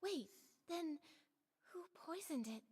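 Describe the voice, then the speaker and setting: High-voiced, earnest young game heroine. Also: female voice, lively intonation, slow pace, darkish tone, heavily acted. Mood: firm, earnest. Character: sweet but firm young heroine, righteous questioner. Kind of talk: video game voice acting. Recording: reverberant game audio with music behind. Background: music.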